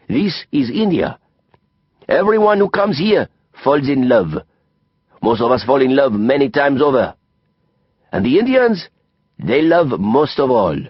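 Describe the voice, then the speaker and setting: Theatrical Frenchman, swooping and lifted in pitch. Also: breathy edge, no room sound, muffled recording, exaggerated voice. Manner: emphatic, declamatory, repeats phrases for effect. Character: theatrical, worldly Frenchman, florid and opinionated. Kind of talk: audiobook character acting.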